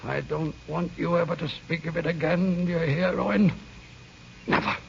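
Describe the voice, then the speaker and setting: A bitter old man in a vintage radio play, his voice high and heavily creaky. He is stern and unyielding, halting with old grief. The recording is dull and noisy. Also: steady tone. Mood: anguished anger. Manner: stern, emotional, halting with repetition. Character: embittered old Irish uncle, stern, grieving and unyielding. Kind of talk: 1930s-40s radio drama acting.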